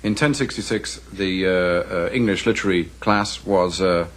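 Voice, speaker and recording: A man's voice: sits low, normal speed, fairly bright tone. A man giving explanatory interview commentary in an academic, to-the-point way. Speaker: literary commentator.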